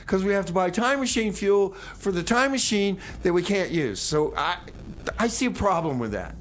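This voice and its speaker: A man's voice: high voice, lively intonation, normal speed, a bit bright, slight background noise. A male radio host with a folksy, rambling manner, bantering between songs and comedy bits. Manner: folksy, rambling, repeats phrases.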